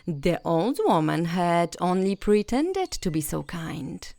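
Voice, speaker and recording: Slow, expressive woman telling bedtime stories. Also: on the low side, creaky, no echo. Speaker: storyteller. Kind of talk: scripted bedtime fairy tale narration.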